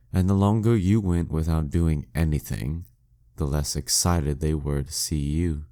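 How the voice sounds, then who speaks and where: A man's voice: very deep voice, dark tone, exaggerated voice. A man playing a proud, dominant demon king in an audio roleplay, teasing and condescending in an intimate scripted monologue. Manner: slow, teasing, condescending, smugly theatrical. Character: dead male demon king, taunting, dominant, proud former nemesis.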